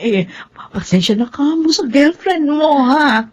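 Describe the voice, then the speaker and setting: A woman's voice: high voice, expressive, measured pace. A woman playing an apologetic older mother in a Tagalog radio drama. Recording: muffled, dull audio.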